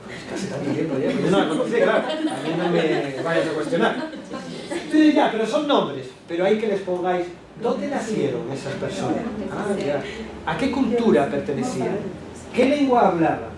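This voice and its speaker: A man gives an animated lecture to teachers, asking the room rhetorical questions and adding quick asides to the audience. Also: high voice. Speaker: education speaker at a teachers' workshop. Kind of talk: lecture presentation. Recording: echoey hall, distant mic, background noise.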